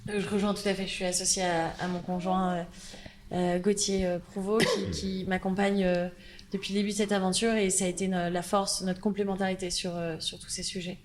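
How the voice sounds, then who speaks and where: A woman with a French accent, a brand founder speaking as a guest on a panel, lively and full of fillers. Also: medium pitch, a little fast, a little hiss or hum. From France. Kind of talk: panel conversation.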